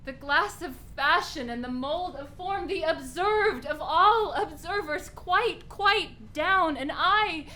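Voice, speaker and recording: A woman playing a gentle, heartbroken young noblewoman, lamenting in verse in a Shakespearean radio play. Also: extremely high pitch, exaggerated voice. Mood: grieving. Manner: slow, lamenting verse delivery.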